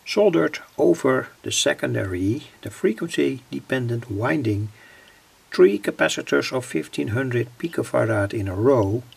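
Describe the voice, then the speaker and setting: A man from the Netherlands with a Dutch accent, explaining electronics informally in halting, deliberate phrases. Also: mid-range voice. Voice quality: gravelly, husky. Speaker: electronics hobbyist, vlogger. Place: indoors at a home electronics workbench.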